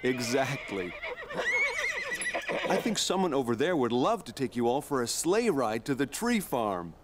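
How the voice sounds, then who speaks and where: A man's voice: medium pitch, big pitch swings, measured pace, heavy background noise. A man playing a friendly, welcoming farmer in a children's cartoon, kindly and explaining things simply.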